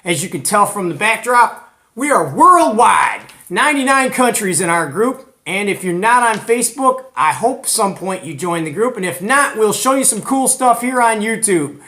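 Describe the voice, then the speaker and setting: An American man hosting a hobby video in a casual, animated, colloquial way, retelling conversations as he goes. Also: sits high, moderate pace. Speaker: host of a CB radio show. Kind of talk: casual hobby vlog presenting.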